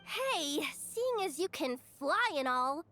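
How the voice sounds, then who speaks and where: A woman voicing a small, childlike flying companion in a video game, excitable and bossy, with a squeaky voice. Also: takes it slowly, soft background music, heavily acted. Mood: urgent. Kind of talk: video game character dialogue.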